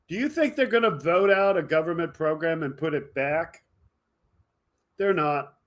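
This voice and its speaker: A man with a somewhat high-pitched voice hosts a political video podcast. He speaks in an impassioned, emphatic monologue aimed straight at his viewers. His delivery moves between forceful outbursts and quieter asides. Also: normal speed. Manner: emphatic, fired up, addressing viewers directly. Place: indoor home studio with green screen.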